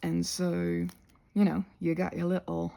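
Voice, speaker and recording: A woman voicing a chatty, crafty goblin with a gravelly, husky voice, rambling haltingly through an ASMR craft session. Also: on the low side, level tone, warm tone. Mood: chatty, earnest. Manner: rambling, halting, goes off on tangents.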